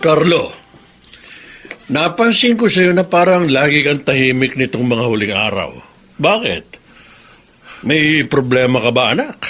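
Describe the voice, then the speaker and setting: A man's voice: medium pitch, sing-song, measured pace, long pauses, a bit bright, faint music behind. An actor playing a jovial, curious middle-aged Filipino father in a radio drama. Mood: probing, encouraging.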